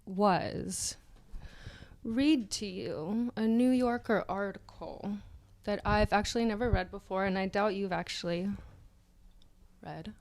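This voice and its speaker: A woman co-hosting a podcast in casual comedic chat, halting and often trailing off. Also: mid-range voice, a little mellow, slight background noise. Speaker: podcast co-host.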